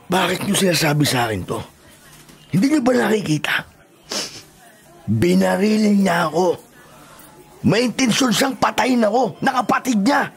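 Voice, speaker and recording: Stubborn wounded fugitive, radio drama. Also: male voice, sits high, big pitch swings, normal speed, gravelly, pauses often, slightly rough, exaggerated voice. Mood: bitter, agitated. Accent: Filipino. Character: stubborn wounded male fugitive, bitter then repentant elder brother. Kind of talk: Filipino radio drama acting.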